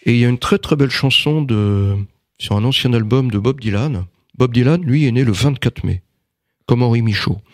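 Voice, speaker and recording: A man's voice: mid-range voice, normal speed, darkish tone, no room sound, clean studio sound. A man giving an interview in casual conversation, speaking hesitantly with many fillers and restarts. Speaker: interviewee, writer and magnetizer.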